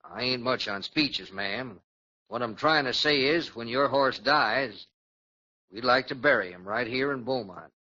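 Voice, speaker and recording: Earnest, courteous Texas councilman; folksy 1940s radio play, forceful tone, dull old recording. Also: medium pitch, measured pace. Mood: humble, sincere. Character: earnest, plain-spoken Texan city councilman, respectful.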